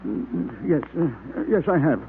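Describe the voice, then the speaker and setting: A man's voice: medium pitch, very animated, slow pace. An actor playing a nervous, evasive man with a secret, hesitant and defensive, in old-time radio drama style. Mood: evasive, uneasy. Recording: tinny, hissy old-time radio recording.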